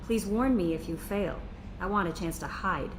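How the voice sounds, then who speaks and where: An actress playing an observant young female crew assistant in a video game, tactful and friendly with gentle humour. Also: lowish voice, normal speed, a little hiss or hum, natural voice. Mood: friendly, concerned.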